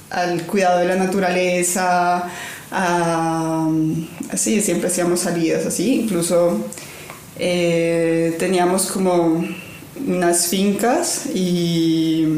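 A young woman speaks in a slightly low voice. She is reflective and easygoing, often pausing to find her words. The sound carries a noticeable room echo. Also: a little mellow, a little hiss or hum. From Colombia. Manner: thoughtful, many fillers and restarts, laughs easily. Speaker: guest, designer. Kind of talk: conversational interview.

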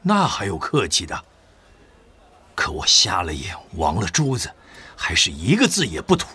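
Dramatically swooping weary wartime official. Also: male voice, low-pitched, wildly swooping pitch, moderate pace, sharp tone, slightly rough, heavily acted. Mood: grim, bitter. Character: weary, stoic male wartime official scarred by torture. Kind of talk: Mandarin audio drama acting.

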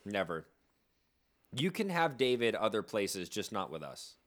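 A man's voice: lowish voice, a little slow. A man co-hosting a casual podcast, teasing his friends and telling stories with a lot of laughter. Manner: animated, teasing, laughing storyteller. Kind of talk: casual banter among friends.

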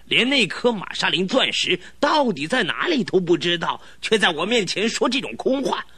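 A pompous old duke. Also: male voice, high-pitched, big pitch swings, normal speed, bright, forceful tone, slightly rough, full character voice. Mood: indignant. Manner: pompous, blustering, scolding. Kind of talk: radio drama acting.

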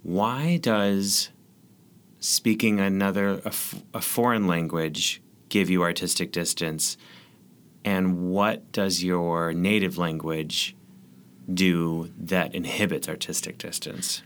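A man's voice: deep voice, steady tone, takes it slowly, a bit bright. A man hosting an interview, asking plain, curious questions. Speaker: interviewer, podcast host.

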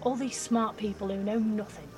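A young woman playing an excitable, anxious nineteen-year-old trainee beekeeper in an audio drama. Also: mid-range voice, moderate pace, soft background music, natural voice. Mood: cheeky. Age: young adult. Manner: breathless, excitable, cheeky.

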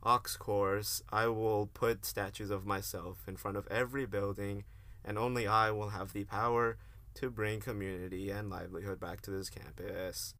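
Pompous, low-voiced student hero played by a man. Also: level tone, normal speed, fairly bright tone. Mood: megalomaniac. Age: young adult. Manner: pompous mock-heroic declamation mixed with slang. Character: pompous philosophy-major student hero, grandiose and melodramatic. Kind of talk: amateur comedic radio play acting.

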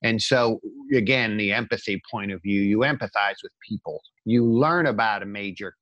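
A man with a slightly low voice, recorded dry with little room sound. He speaks as a podcast co-host in a casual, conversational style. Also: normal speed, a bit bright, no room sound, lo-fi sound.

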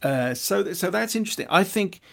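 A man's voice: high-pitched, expressive, fairly quick, creaky, a bit bright. A man hosting a radio film show, reading listener emails aloud in a chatty way with wry asides.

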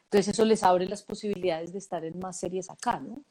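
A woman, a casting director giving relaxed, thoughtful interview answers with many fillers and restarts. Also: fairly low pitch, brisk, rough recording.

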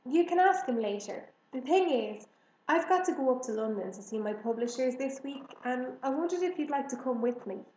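A woman's voice: sits high, animated, normal speed, darkish tone, echoey room, slight background noise, voice pushed up, poor audio. A woman reading a storybook, voicing a kindly, polite and friendly adult woman.

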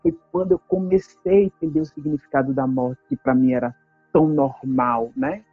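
Husky-voiced woman. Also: very deep voice, lively intonation, normal speed, no echo, narrow, tinny audio, faint music behind. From Brazil. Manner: earnest, emphatic storytelling, tag questions. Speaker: activist speaker. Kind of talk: personal testimony talk.

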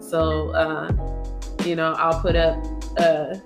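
A woman's voice: sits low, completely flat delivery, slow pace, music in the background. Congested laid-back woman podcast host. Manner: laid-back, chatty, laughs often. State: congested from a cold. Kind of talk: casual podcast chat.

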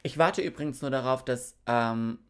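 A man's voice: mid-range voice, normal speed, clear, resonant tone. A man co-hosting a casual podcast chat, playful and slangy, often laughing. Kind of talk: casual chat, quizmaster in guessing game.

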